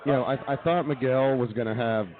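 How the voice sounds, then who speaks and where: Fast-talking American comedy radio host, tinny sound. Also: male voice, mid-range voice. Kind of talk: casual improvised comedy banter. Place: live remote broadcast at a brewery.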